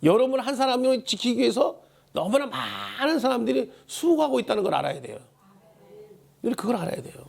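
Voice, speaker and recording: A man preaching a church sermon in a hoarse, worn voice, emphatically exhorting and repeating key phrases. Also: very high voice, very animated, brisk. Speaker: pastor preaching a Sunday sermon. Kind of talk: Korean church sermon with call-and-response prompts. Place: church service.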